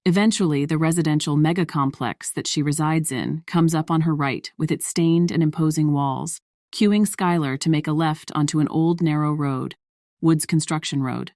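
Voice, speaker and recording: Female narrator, deep register, scripted fiction read, dry close recording. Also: darkish tone, no room sound.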